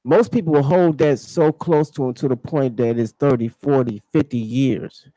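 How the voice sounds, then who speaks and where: A man's voice: mid-range voice, moderate pace, mellow, no room sound, low-quality recording. A man hosting a radio talk show, speaking reflectively and repeating phrases for emphasis. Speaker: radio host.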